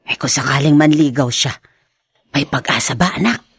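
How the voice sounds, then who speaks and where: A woman's voice: extremely low pitch, normal speed, breathy edge, exaggerated voice. An actress with a Filipino accent, playing a fond, talkative middle-aged mother in a radio drama. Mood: coaxing.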